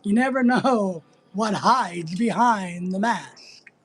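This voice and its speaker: A livestream host from the United States with a husky voice, chatting casually and bluntly while teasing viewers and rambling through answers to their comments. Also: medium pitch, takes it slowly, a little hiss or hum. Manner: blunt, teasing, sometimes breaking into playful exclamations.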